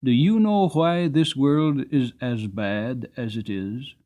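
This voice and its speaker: A man voicing a principled gentleman in an audiobook, speaking in an earnest, emphatic and moralizing way. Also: medium pitch, slow pace, natural voice. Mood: earnest, righteous. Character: principled, earnest gentleman who challenges cruelty. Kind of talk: dramatic audiobook character reading.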